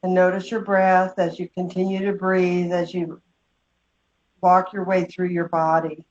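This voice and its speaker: A woman leading a guided meditation, giving slow, gentle instructions with long pauses. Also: fairly low pitch, monotone. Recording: dull, muffled lo-fi sound.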